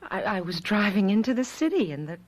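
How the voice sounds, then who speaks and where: A woman playing a world-weary wife who is forever eighteen, in melodramatic, halting radio drama style. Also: medium pitch, big pitch swings. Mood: confessing, defensive. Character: ageless eternal eighteen-year-old wife, world-weary, melodramatic, sorrowful.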